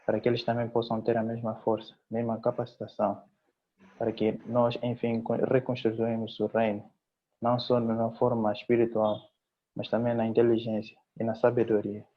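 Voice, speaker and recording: A man's voice: medium pitch, completely flat delivery, normal speed. A man leading a solemn spoken prayer, building it from repeated parallel phrases. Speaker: congregation member leading prayer. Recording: dull lo-fi livestream audio.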